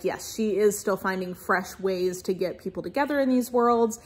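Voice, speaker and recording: A young adult woman, talking casually and emphatically to camera as an enthusiastic, informal book reviewer. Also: medium pitch. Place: small-to-medium living room indoors with bookshelves.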